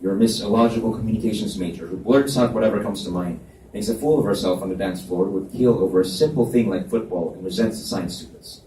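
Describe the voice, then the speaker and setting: A man reading the part of a reserved, analytical young college student with a measured, hesitant way of talking, in live romance fiction dialogue. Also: lowish voice, warm tone, natural voice. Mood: cool, argumentative. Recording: echoey room, distant mic, background noise.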